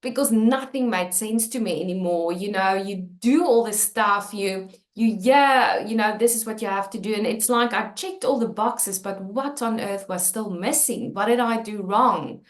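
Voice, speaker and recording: A woman's voice: mid-range voice, normal speed, few pauses, echoey room. A woman from South Africa, a native Afrikaans speaker with a South African accent, talking candidly and earnestly about her writing, with many fillers and restarts. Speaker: author and channel host. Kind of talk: personal talk about her book.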